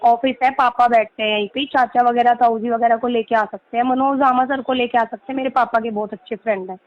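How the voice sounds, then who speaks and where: Woman, lawyer, high voice, persuasive, explanatory, English legal terms mixed in, dull lo-fi call audio. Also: steady tone, brisk. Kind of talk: persuasive phone conversation. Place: recorded phone or video call. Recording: muffled lo-fi recorded call.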